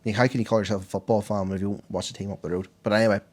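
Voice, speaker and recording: A hoarse man with a Northern Irish accent who co-hosts a podcast and gives the sport round-up with animated, excitable enthusiasm. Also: fairly low pitch, racing. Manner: enthusiastic, animated, laughs easily. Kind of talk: casual podcast chat.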